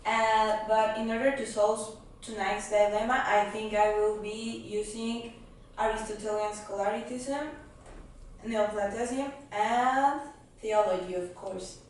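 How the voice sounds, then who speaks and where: A woman's voice: on the high side, steady tone, measured pace, natural voice. A woman playing an eager, polite scholar as a guest in a talk-show skit. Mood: explaining. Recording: echoey room, distant mic, faint noise.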